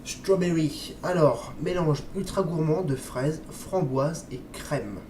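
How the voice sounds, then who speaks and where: A man's voice: fairly high pitch, takes it slowly, warm tone. A man with a slight cold chatting casually through a product review vlog, hesitant and friendly, often stopping to address his viewers. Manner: chatty, hesitant, says "les amis" and "hop" often. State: stuffy with a cold, coughing. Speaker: vape liquid reviewer. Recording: echoey room, distant mic, noisy.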